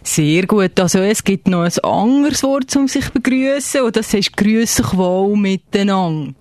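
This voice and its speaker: A chummy Swiss teacher, played by an actor in a playful radio play. The medium-pitched voice has a Swiss German accent and is recorded close and dry. The delivery is encouraging, full of little check-in questions. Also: lively intonation, a little slow, a little mellow, dry recording, natural voice. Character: chummy Swiss language teacher drilling greetings and curses. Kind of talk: live comic radio play, playful lesson.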